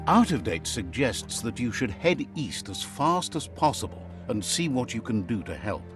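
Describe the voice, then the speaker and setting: An actor voicing a wry, wise male storyteller, narrating a video game fable in a measured, theatrical way. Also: mid-range voice, sing-song, over music, natural voice. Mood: wry, storytelling.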